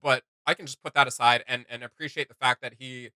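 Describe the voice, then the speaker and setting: Bright-voiced enthusiastic man. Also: medium pitch, steady tone, fast talker, clean tone, no echo. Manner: enthusiastic, slangy. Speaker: host of a fan reaction show. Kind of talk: casual reaction commentary.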